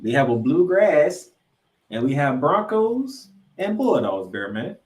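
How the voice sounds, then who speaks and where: A man co-hosting a sports podcast, previewing games and picks with drawn-out, emphatic announcer-style introductions. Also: on the high side, sing-song, a little mellow. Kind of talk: college football game previews and picks. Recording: roomy, echoey sound.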